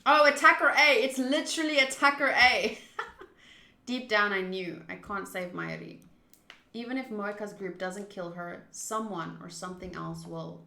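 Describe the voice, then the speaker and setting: A woman streaming a visual novel, switching between measured reading aloud and loud, casual reactions. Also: mid-range voice, echoey room. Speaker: game streamer.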